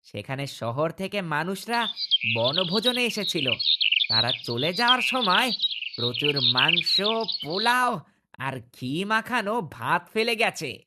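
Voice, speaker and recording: A woman voicing a clever, quick-witted fox in a cartoon, speaking in a persuasive, theatrical manner. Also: lowish voice, big pitch swings, heavy background noise. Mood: coaxing flattery. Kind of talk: cartoon voice acting.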